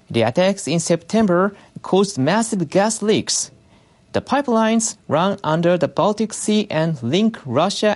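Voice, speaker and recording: News reader for scripted bulletin. Mid-pitched, measured, formal. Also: darkish tone.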